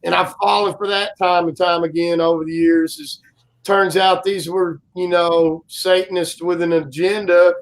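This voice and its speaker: A man in his forties, a guest co-host chatting casually on a live stream, with a loud, carrying voice and a rambling way of telling stories full of fillers and restarts. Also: high voice, little pitch movement, normal speed, low-quality recording.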